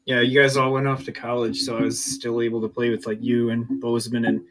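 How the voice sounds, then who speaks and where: A man's voice: mid-range voice, monotone, fast, a crowd in the background, poor audio. A man co-hosting a podcast, reminiscing informally and peppering his talk with "like". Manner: informal, says "like" constantly. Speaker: podcast co-host. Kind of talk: casual chat, personal reminiscing.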